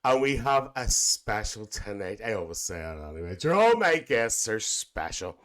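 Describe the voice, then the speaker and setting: A man's voice: fairly low pitch, big pitch swings, moderate pace, a bit bright. A man playing a chatty, teasing Northern Irish auntie who hosts a comedic interview podcast, repeating phrases and calling people dear. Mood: playful, welcoming. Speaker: podcast host.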